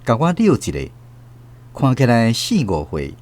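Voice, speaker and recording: Casting: old Taiwanese man recalling lost love, emotive literary narration, animated mid-range pitch. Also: normal speed. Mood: tender, reflective. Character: elderly Taiwanese grandfather recalling a lost love, tender, wistful. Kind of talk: scripted radio drama narration.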